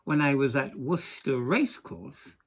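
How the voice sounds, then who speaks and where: An older Englishman telling a rehearsed anecdote in a put-on Welsh accent, with deliberate, singsong phrasing. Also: mid-range voice, animated. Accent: English speaker putting on a Welsh accent. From England.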